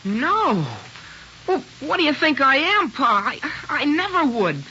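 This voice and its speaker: A man's voice: very high voice, sing-song, measured pace, sharp tone, heavily acted. An actor playing an earnest, dramatic sixteen-year-old American boy, impassioned and boyishly pompous, in old radio drama style. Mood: indignant, earnest. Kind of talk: 1950s radio drama acting. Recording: muffled, noisy old radio broadcast.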